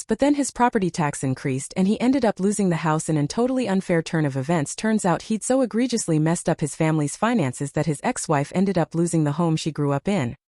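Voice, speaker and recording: A woman's voice: lowish voice, darkish tone, no room sound, studio quality. A woman narrating a reddit story aloud, reading out a long numbered list and lifting her voice on each number.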